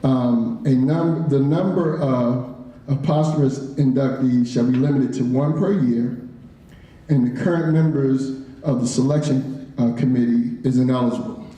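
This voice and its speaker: Guy giving a speech in a big echoey school hall, dark mellow voice, lots of restarts. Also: mid-range voice, unhurried. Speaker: speaker at an awards ceremony. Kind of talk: ceremony speech.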